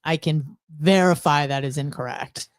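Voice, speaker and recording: A woman's voice: deep voice, normal speed, dry recording, studio quality. A woman co-hosting a podcast in casual, unscripted chat, speaking bluntly and emphatically with crude humor. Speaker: podcast co-host.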